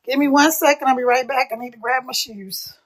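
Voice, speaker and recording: An older woman with a scratchy, hoarse voice, chatting folksily to viewers of her phone live stream. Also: high-pitched, brisk, over a noisy crowd. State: hoarse after two hours of talking. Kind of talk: casual live-stream chat.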